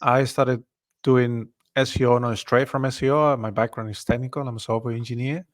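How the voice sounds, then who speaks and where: A man's voice: mid-range voice, steady tone, fairly quick. A man from Ecuador co-hosting a live online webinar, talking in an easygoing way and greeting attendees by name over a computer mic. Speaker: webinar co-host. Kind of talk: live webinar, casual chat.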